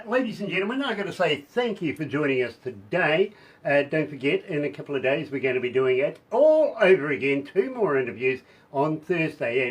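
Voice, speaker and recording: A man with a husky, somewhat hoarse voice hosts a radio interview, pitched a touch high. He gushes and chats warmly with his guest, laughing between lines. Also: rough recording. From New Zealand. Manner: effusive, chatty, laughs often. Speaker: radio host.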